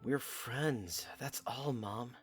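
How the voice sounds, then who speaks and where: A man's voice: mid-range voice, very slow. A man playing a teasing yet guarded young man in an audio drama, with music behind him. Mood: defensive, troubled.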